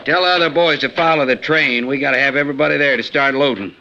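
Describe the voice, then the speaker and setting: An actor playing a wary, bossy outlaw gang leader in an old radio western, with a folksy, menacingly polite frontier manner. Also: male voice, medium pitch, fast talker, bright, forceful tone. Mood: brisk, self-assured. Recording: dull, noisy 1950s radio recording.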